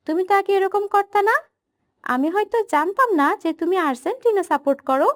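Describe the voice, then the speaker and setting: A woman's voice: very high-pitched, expressive, quick pace, no room sound, clean studio sound. A woman voicing a stubborn young girlfriend in a cartoon, pleading and firm. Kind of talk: cartoon voice acting.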